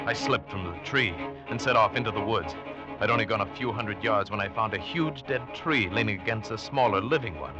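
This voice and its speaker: A man's voice: on the low side, lightly acted. An actor playing a hunted man who narrates his desperate survival with intense, suspenseful delivery in 1940s radio drama style. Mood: fearful, urgent. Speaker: narrator and protagonist. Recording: dull vintage radio recording, music behind. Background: dramatic music.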